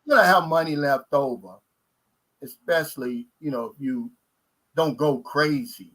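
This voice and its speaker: A middle-aged man, hosting a casual live stream in a relaxed, folksy way and reading viewer messages aloud. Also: mid-range voice, normal speed. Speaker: host.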